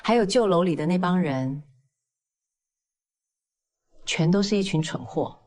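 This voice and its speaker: A woman's voice: fairly low pitch, lively intonation, a little slow, darkish tone. A woman playing a cold, vengeful young criminal in an audio drama, coolly taunting and unrepentant. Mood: cold, scornful. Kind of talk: dramatized audio fiction acting.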